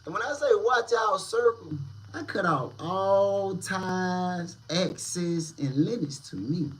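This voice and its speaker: A man hosting a casual street-news commentary channel, talking in slang with drawn-out, emphatic delivery. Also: high-pitched, expressive, a bit bright, poor audio.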